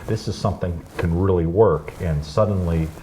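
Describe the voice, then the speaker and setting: Low-voiced guy chatting on an interview show, rambly, starts sentences over, a bit of faint noise behind. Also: normal speed, warm tone, rough recording. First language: English. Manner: rambling, restarts often, upbeat. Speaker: guest, chamber founder. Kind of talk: casual interview conversation.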